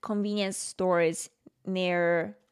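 A woman's voice: lowish voice, fairly even intonation, very slow, clean tone. A woman from Japan with a Japanese accent, a native Japanese speaker, hosting a casual solo podcast in a conversational, explanatory way. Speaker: podcast host.

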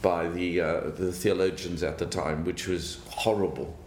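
An older man from South Africa tells his story in a low, unhurried voice, pausing and restarting as he thinks and chuckling now and then. The room sounds roomy, with a faint rumble underneath. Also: steady tone. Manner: thoughtful, halting, with chuckles. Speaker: guest, banker. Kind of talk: interview storytelling.

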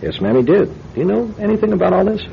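Hesitant, polite policeman, lively intonation. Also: high-pitched, quick pace, creaky, heavily acted. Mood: polite, puzzled. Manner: hesitant, deferential, stammering. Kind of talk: old-time radio drama acting. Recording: dull, noisy old radio drama recording.

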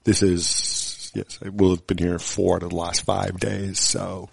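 A man's voice: low-pitched, level tone, creaky, breathy edge, no echo. A man born in Italy with an American accent, a guest journalist speaking in a wry, casual way. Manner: wry, self-deprecating, halting restarts. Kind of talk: casual conversation.

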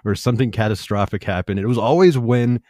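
A man's voice: mid-range voice, fast talker, mellow, no room sound, studio quality. A man in his thirties interviewing a guest in a casual, friendly way, stumbling and repeating his words as he talks. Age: 30s. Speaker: youtube channel host, interviewer.

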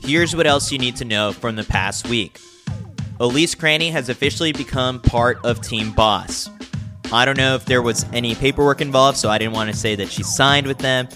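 A man's voice: medium pitch, moderate pace, over music. Man reading a wry sports newsletter. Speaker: newsletter reader and host. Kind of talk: scripted narration of a sports newsletter.

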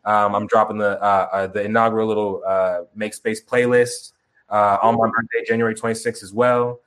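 A young man guesting on a call-in interview, talking casually, rambling and halting, with many restarts and fillers and some swearing. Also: on the low side, little pitch movement, a bit bright. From Chicago, grew up in Tucson. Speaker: musician guest. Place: remote video call.

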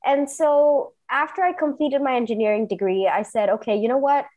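Enthusiastic higher-voiced woman from Singapore. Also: normal speed, phone-quality sound. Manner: earnest, enthusiastic, emphatic repetitions. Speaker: interview guest, entrepreneur. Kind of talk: personal storytelling in conversation.

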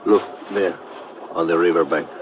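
An actor playing a respectful, earnest local helper in a 1950s radio drama. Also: male voice, sits low, fairly even intonation, normal speed, close to an everyday voice. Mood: grave. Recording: dull noisy old radio recording.

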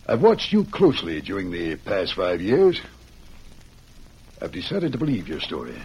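Old West prison warden, low, husky, expressive, earnest, persuasive, classic radio drama. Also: male voice, full character voice, rough recording. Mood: earnest, persuading. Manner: persuasive, measured, often addresses listener by name. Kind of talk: old-time radio western acting.

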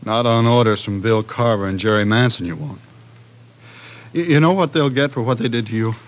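An actor playing an easygoing, protective brother in an old-time radio drama, gentle and slightly halting. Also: male voice, mid-range voice, close to an everyday voice. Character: easygoing, protective, concerned older-brother type. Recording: vintage radio broadcast.

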